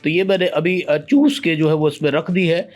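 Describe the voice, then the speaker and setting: A man with a Pakistani Urdu accent playing a pompous, boastful veteran journalist guest in a comedy sketch, with deadpan boasts. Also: fairly high pitch, moderate pace, a little hiss or hum, close to an everyday voice. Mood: mocking. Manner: deadpan boasts, breaks into sung lines.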